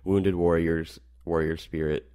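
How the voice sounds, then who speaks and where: A teenage boy hosting a rock radio show, slightly hoarse, wrapping up with rambling asides and many fillers. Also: low voice, little pitch movement, unhurried, mellow. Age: teens. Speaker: radio host. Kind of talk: casual show wrap-up.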